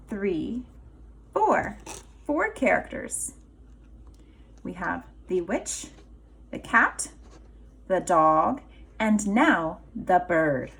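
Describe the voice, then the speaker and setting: A woman kindergarten teacher reading a children's storybook aloud expressively, deliberate and emphatic, pausing for questions. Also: medium pitch, vocal fry, a bit bright.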